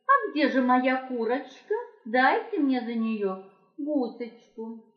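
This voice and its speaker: Woman playing sly storybook she-fox, wheedling sing-song, demanding, very animated pitch, dull echoey cassette recording. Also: takes it slowly. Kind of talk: children's folk tale character voice. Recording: muffled home cassette with roomy echo.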